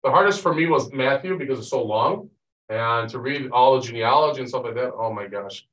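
A man leads a study group in a casual, wandering way, full of asides. His medium-pitched voice comes across a meeting room, echoey and distant from the microphone. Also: fairly quick, fairly bright tone. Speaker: Bible study leader. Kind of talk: casual group teaching and chat. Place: meeting room with long conference table. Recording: roomy, picked up from a distance.

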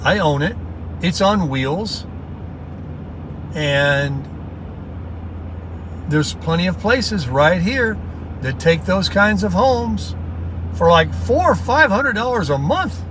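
A man's voice: high-pitched, animated, slow pace, long pauses. A middle-aged man vlogging from his car, rambling in a casual, folksy, upbeat way. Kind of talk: casual rambling talk while driving. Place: inside a moving car. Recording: faint road noise in car. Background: road and car noise.

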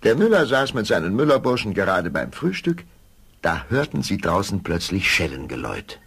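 A man's voice: deep voice, wildly swooping pitch, moderate pace, gravelly, fairly bright tone, husky. A man narrating a scripted fairy tale as a storyteller.

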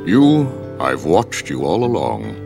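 Deep, gravelly, cryptic older mastermind. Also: male voice, huge pitch swings, slow, music in the background, heavily acted. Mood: solemn, cryptic. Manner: grave, portentous. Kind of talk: video game cutscene acting.

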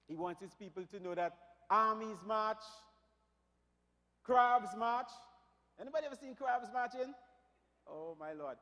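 A pastor preaching to his congregation with a slightly raspy, somewhat high voice. He swings between hushed prayer and fervent, shouted declarations. Long reverb from the church hall trails each phrase. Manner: builds from quiet to loud, preaching fervour. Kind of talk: sermon.

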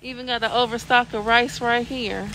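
Woman, phone vlog, fairly high voice, unhurried, loud store noise behind. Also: steady tone, low-quality recording. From California, USA. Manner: chatty running commentary, repeating items as she spots them. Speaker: vlogger. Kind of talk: casual shopping walkthrough vlog. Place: in a car, then inside a large warehouse store. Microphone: phone camera held at arm's length.

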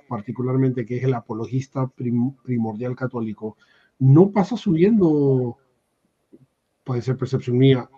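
A man's voice: medium pitch, warm tone, slightly rough. Honduran man on a dull call line. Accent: Honduran Spanish. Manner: talkative, restarts mid-phrase. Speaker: guest. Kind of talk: casual panel chat. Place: home room with bookshelves. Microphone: webcam video call. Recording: dull lo-fi video call audio.

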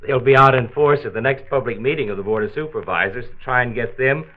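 A man's voice: medium pitch, quick pace, natural voice. A man playing a patient, avuncular county health doctor who explains things in a 1940s radio drama. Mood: encouraging. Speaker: health commissioner. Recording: tinny band-limited 1940s transcription disc.

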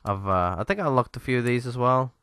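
A man's voice: mid-range voice, animated, quick pace, a bit bright, clean tone, no room sound. A man commentating on a racing game casually, a bit nasal from a cold, rambling with lots of ums. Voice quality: slightly nasal from a lingering cold. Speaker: gaming YouTuber. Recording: game audio noise behind. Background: racing game sounds.

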